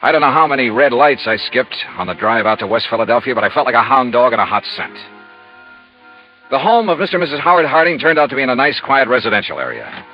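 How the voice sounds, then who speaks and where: A man acting a hard-boiled 1950s radio investigator, fast and clipped, with a lively swing in his pitch. He is dry in narration and insistent with questions, on a dull old recording. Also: close to an everyday voice. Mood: dry, intent. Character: brisk, hard-boiled male insurance investigator. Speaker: narrator and lead actor. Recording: dull vintage radio recording, music behind.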